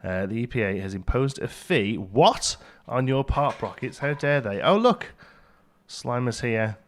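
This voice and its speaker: A British man with a middling pitch gives casual gaming commentary. He chats wryly and thinks out loud as he goes, in a let's play host's easy style. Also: normal speed. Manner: chatty, wry, thinking aloud. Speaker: gaming video host.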